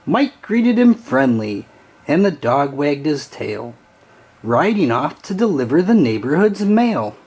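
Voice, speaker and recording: A man reading a children's storybook aloud with an expressive, sing-song storytelling delivery. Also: a little high, measured pace, slight background noise. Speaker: storybook reader.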